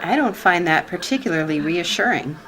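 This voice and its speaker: An actress playing a supportive but skeptical office secretary with a dry, matter-of-fact manner in a comedic radio play. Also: female voice, on the low side, lively intonation, brisk, fairly bright tone, rough recording. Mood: doubtful.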